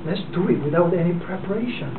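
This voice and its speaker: A man in his forties from South Korea, with a Korean accent, giving an unprepared speech to a club in a halting way, full of fillers and restarts. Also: sits high, lively intonation. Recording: distant, echoey, phone-like and noisy.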